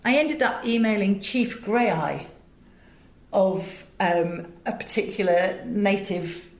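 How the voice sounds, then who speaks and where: A woman's voice: mid-range voice, takes it slowly. A British woman being interviewed, telling anecdotes and explaining her work in an articulate, emphatic way. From England. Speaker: interview guest, audio director and producer. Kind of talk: animated conversational storytelling in an interview. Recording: roomy, echoing interview sound.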